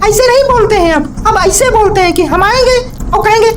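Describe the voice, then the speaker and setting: A man's voice: extremely high pitch, brisk, over music. A man voicing a cheeky, mouthy male troublemaker in a comedy cartoon, talking in a teasing, colloquial way. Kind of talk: Hindi comedy cartoon dialogue. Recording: noisy cartoon audio with clicks.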